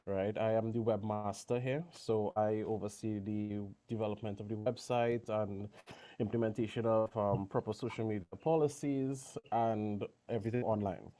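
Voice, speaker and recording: Mellow-voiced man, casual introduction. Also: lowish voice, fairly even intonation, moderate pace. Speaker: webmaster. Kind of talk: informal self-introduction at an online meeting. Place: remote video meeting.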